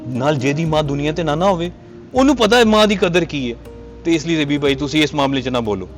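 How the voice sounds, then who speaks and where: High-voiced soft-hearted friend , acted by a man. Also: expressive, fast talker, faint music behind, natural voice. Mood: compassionate. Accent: Pakistani Punjabi. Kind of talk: casual amateur drama dialogue.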